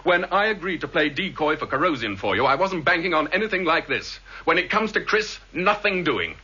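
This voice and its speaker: An actor in a vintage radio drama playing an agitated husband with an urgent, heated manner. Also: male voice, fairly high pitch, lively intonation, brisk, pushed and bright, slightly rough, full character voice. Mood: angry, indignant. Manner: urgent, accusatory, emotionally heated. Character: agitated husband of a targeted woman, angry and fearful. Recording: muffled vintage radio recording, faint hiss.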